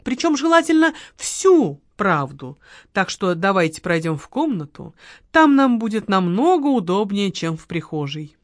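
Woman voicing a brisk ironic detective man. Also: mid-range voice, big pitch swings, no echo, studio quality. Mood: firm.

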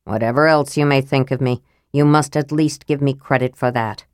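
A grave, honorable young male knight who speaks low and measured, reproachful but restrained, voiced by a woman reading aloud. The sound is deep for her and dry, with no room around it. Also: fairly even intonation, no echo. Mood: quiet, reproachful. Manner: quiet, measured and stern. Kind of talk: audiobook character voice.

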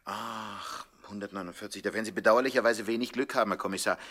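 An actor playing a sly, suave gangster who feigns helpful innocence with mock politeness in a comic 1960s radio play. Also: male voice, on the low side, steady tone, fast, pushed and bright, big-room sound. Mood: feigned innocence. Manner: mock-polite, smooth-talking, teasing hesitations.

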